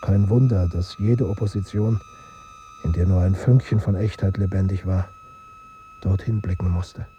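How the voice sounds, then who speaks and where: Give me an actor as a low-voiced, grave male essayist. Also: fairly even intonation, moderate pace, dull audio, background noise, natural voice. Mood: reflective. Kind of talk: scripted essay narration in radio play.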